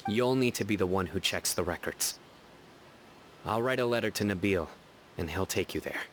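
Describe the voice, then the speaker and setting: Low-voiced, stern young investigator. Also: male voice, a bit bright, a little hiss or hum. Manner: measured, serious, deliberate. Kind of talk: video game voice acting. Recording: game music behind.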